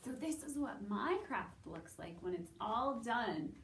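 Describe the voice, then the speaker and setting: A woman with a very lively, slightly high voice leads a story time for small children. She is sing-song and encouraging, leaving space for little listeners to respond. The sound is roomy and echoing, picked up a little way from the microphone. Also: gravelly, a little hiss or hum. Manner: sing-song, animated, encouraging, asking questions and pausing for answers. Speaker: children's story time host at a public library. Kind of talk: children's storytelling and singing, addressing young listeners. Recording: roomy echo, distant microphone.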